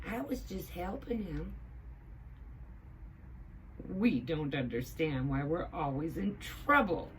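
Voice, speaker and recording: A woman's voice: low-pitched, expressive, slow pace, long pauses, slight background noise, low-quality recording. Woman voicing an earnest, plaintive talking storybook hat. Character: eager, well-meaning talking hats, puzzled and easily dismayed.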